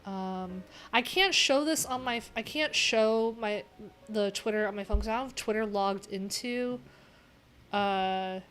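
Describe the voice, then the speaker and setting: A woman's voice: mid-range voice, unhurried, a bit bright. A middle-aged woman chatting casually on a livestream, candid and rambling as she thinks aloud. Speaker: livestream host, developer. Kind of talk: casual livestream chat while browsing. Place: small cluttered room indoors. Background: music.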